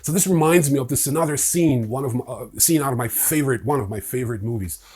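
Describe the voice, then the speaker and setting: Husky-voiced man, sardonic news host. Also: medium pitch, quick pace, a little mellow. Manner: informal, sardonic. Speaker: host of a crypto news show. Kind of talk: opinionated news commentary.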